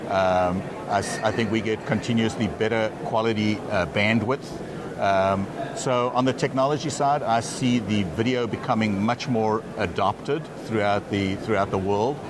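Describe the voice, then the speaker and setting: A low-voiced man speaks as a company executive being interviewed on a trade show floor. He often stumbles and repeats words before getting a phrase out. Clear chatter and noise run behind him the whole time. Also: fairly even intonation, normal speed.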